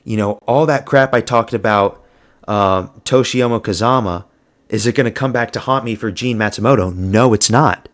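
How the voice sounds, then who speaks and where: A man's voice: fairly low pitch, normal speed. A man giving a blunt, crude, slang-heavy solo review of fight results. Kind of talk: casual solo commentary.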